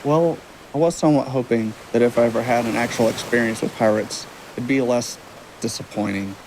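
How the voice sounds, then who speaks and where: A man's voice: medium pitch, brisk, lightly acted. A man acting in an audio drama as a wistful, curious traveling stage magician, talking in a casual, musing way. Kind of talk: audio drama dialogue, naturalistic. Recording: steady sea and wave noise behind.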